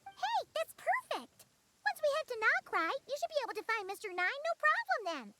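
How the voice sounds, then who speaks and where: A woman voicing a tiny, childlike, perky and inquisitive fairy companion in a video game, with a squeaky voice. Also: wildly swooping pitch, a little fast, heavy background noise, exaggerated voice. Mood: eager. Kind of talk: English video game voice acting. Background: music.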